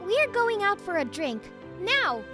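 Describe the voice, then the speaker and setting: A bossy, teasing young lady boss from a manga dub played by a woman. Her voice is extremely high and leaps around in pitch as she needles and gives orders. Light music runs behind her. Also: sing-song, slow, exaggerated voice. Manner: commanding, needling. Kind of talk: anime-style manga dub acting.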